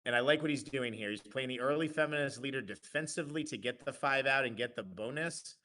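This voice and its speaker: Bright-voiced male game commentator. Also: medium pitch, fast. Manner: analytical, restarts phrases often. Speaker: tournament commentator. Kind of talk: live play-by-play commentary.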